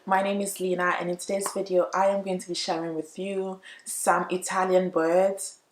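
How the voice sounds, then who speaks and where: A woman who speaks English natively, chatting casually to viewers as she explains language points, expressive and emphatic, repeating words for emphasis. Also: on the low side, moderate pace, slight background noise. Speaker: YouTube language vlogger. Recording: roomy, echoey room.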